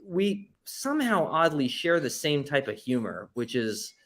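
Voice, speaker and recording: A man's voice: a little high, very animated, measured pace, a bit bright, a little hiss or hum. A man who plays in a band, answering casually as an interview guest in an earnest, explanatory way.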